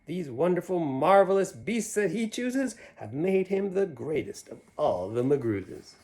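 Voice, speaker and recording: An awestruck townsperson from a rhyming storybook, performed by a man reading aloud, exclaims in admiration. The voice is pitched up and swings widely, with emphatic wonder. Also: big pitch swings, unhurried, a little mellow, full character voice. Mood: admiring, cheering. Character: amazed, admiring townspeople marveling at a young zookeeper.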